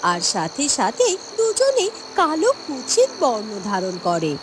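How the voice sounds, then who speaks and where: A woman's voice: high-pitched, wildly swooping pitch, a little slow, very noisy. A woman reading scripted fairy tale narration as a storyteller.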